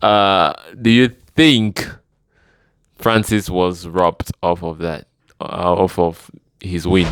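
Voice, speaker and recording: A man's voice: low-pitched, fairly even intonation, takes it slowly, smooth, no echo, studio quality. A man with a Cameroonian accent, hosting a casual video talk and putting questions to his guest. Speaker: host, interviewer.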